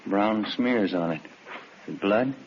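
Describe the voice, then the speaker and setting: An actor playing an alert, businesslike police detective in a 1950s radio drama, talking in brief, plain questions and replies. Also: male voice, lowish voice, takes it slowly. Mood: alert, questioning. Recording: muffled, faintly noisy old radio recording.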